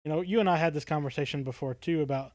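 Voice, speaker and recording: A man's voice: a little high, very fast, darkish tone, no room sound. A man co-hosting a podcast in casual discussion, thoughtful and a little tentative in his phrasing. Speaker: podcast co-host.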